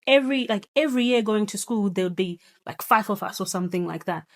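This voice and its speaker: A woman in her thirties from Zimbabwe, a guest reflecting on her upbringing with a relaxed, thoughtful manner. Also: mid-range voice, fast. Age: 30s. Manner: reflective, many fillers and soft laughs. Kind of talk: casual chat.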